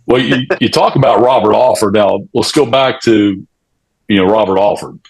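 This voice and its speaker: A man on a sports talk show, giving unscripted analysis in a halting, conversational way. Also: lowish voice, gravelly, slightly rough, poor audio. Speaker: sports radio show panelist.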